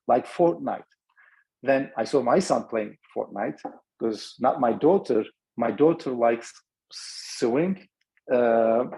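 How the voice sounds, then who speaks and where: A man's voice: medium pitch, vocal fry, mellow, slightly rough, poor audio. A middle-aged man with a Turkish accent giving a webinar talk, thinking aloud with many restarts. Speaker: startup founder presenting.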